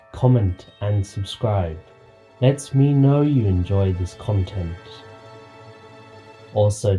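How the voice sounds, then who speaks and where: Slow, dark-voiced man, slightly sick. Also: lowish voice. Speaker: lore channel host. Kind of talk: scripted lore narration.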